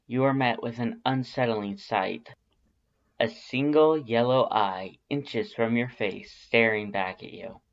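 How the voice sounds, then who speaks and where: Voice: mid-range voice, lo-fi sound. A narrator reading a scripted story expressively, in the manner of an audiobook. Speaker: fan fiction narrator.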